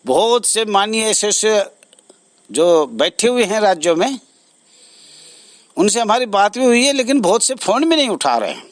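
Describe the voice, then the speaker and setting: A man giving a direct address to supporters as an association president, earnest, halting and repetitive, pausing between phrases. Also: high-pitched, sing-song, dry recording.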